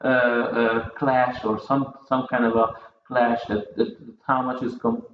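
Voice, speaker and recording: A man talking casually at a table, halting and restarting his sentences often. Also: mid-range voice, flat delivery. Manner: halting, many restarts and repeated words. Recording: echoey, dull room sound with faint noise.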